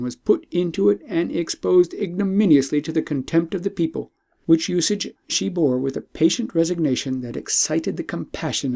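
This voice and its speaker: A man narrating an old tale as an audiobook in a measured, formal storytelling manner. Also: a little high, creaky, dark tone.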